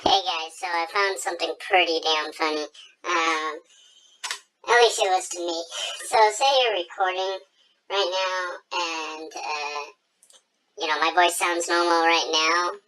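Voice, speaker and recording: Man's voice artificially pitched up, bright and childlike. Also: fairly even intonation, measured pace, a little hiss or hum, low-quality recording. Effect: pitch shifted up by software, childlike. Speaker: tutorial video host. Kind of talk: casual chat to viewers.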